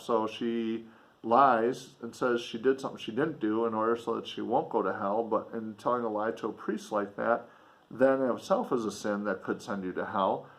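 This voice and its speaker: A man reading a classic novel in an expressive audiobook style, with spoken commentary. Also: mid-range voice, poor audio.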